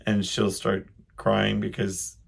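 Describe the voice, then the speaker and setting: Creaky-voiced middle-aged man, rambling thoughtfully. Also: on the low side, level tone. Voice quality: loud voice. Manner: rambling, halting, thinking aloud. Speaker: podcast host. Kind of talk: casual solo monologue, personal reflection.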